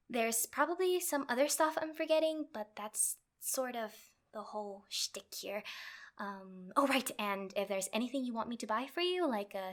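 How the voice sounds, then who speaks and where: A woman playing a nervous, sweetly clingy yandere girlfriend in a comedic roleplay audio, rambling with halting stammers. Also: a little high, fairly bright tone, close to an everyday voice.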